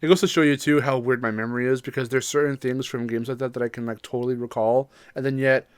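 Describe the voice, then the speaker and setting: Podcast co-host, medium pitch voice, rambly casual game talk, really dry recording. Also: steady tone, fast talker, clean tone, dry recording. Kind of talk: casual chat about video games.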